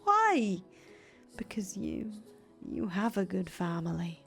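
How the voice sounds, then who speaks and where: A woman voicing a soft-spoken young man condemned as a heretic, talking quietly in breathy whispers. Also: lowish voice, measured pace, faint music behind, natural voice. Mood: whispered farewell. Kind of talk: audio drama acting.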